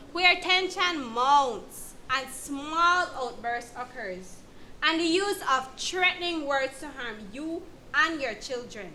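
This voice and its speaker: A woman playing a firm, supportive counsellor, speaking deliberately and emphatically in an educational dramatization. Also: high-pitched, pushed and bright, heavily acted. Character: female counsellor, firm, supportive, explaining abuse to victim. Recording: clear background noise.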